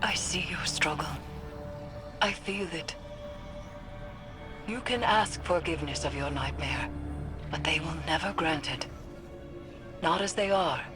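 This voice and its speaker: A solemn seer over loud music. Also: female voice, low voice. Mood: solemn, consoling. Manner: solemn, deliberate, portentous. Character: solemn, mystical woman seer, wise and steely. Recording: loud game music and ambience behind.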